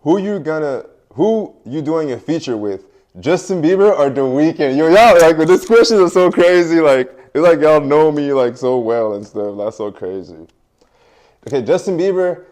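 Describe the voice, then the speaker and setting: A man's voice: on the high side, animated, normal speed, a little mellow. A young man whose first language is French, a music artist giving casual, laid-back interview answers with lots of fillers and frequent laughs.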